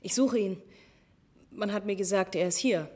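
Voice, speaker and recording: A woman's voice: medium pitch, normal speed, dark tone, close to an everyday voice. An actress playing a determined young woman seeking help among strangers, terse and direct, in a radio drama. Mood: determined, uneasy.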